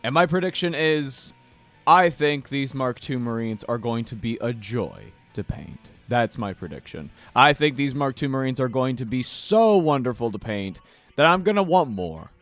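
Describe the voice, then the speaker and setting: A man with a slightly hoarse voice, hosting a casual livestream where he paints miniatures and chats playfully with viewers. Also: mid-range voice, big pitch swings, moderate pace, fairly bright tone. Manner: playful, chatty, addresses chat directly. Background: faint background music.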